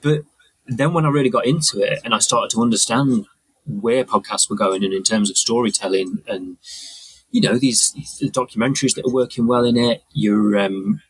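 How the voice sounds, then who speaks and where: A man's voice: medium pitch, moderate pace, breathy edge, no echo, poor audio. A man explaining things casually as a guest in a conversation. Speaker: podcast guest, audio mixer.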